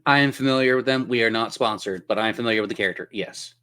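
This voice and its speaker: A man's voice: medium pitch, racing, sharp tone. Playful male game master with theatrical phrasing. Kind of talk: narrating a tabletop role-playing game.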